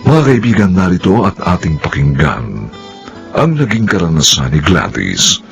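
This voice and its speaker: A man's voice: low-pitched, sing-song, moderate pace, creaky, soft background music. A man with a Filipino accent delivering dramatic scripted narration for a radio drama.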